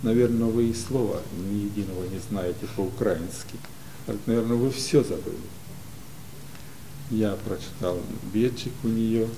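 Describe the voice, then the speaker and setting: An older man from Ukraine recounts memories as an interview guest, his delivery slow and hesitant. His voice is low, dark and gravelly. Faint noise sits under the recording, made in a library studio. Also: rough recording. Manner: unhurried, many pauses and hesitations. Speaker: guest, community leader. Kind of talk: relaxed storytelling in an interview.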